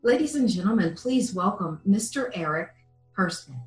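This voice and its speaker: A woman's voice: mid-range voice, expressive, moderate pace, soft background music. A woman co-hosting a webinar, formally introducing the guest speaker. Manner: formal, welcoming announcement. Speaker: webinar co-host. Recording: roomy echo.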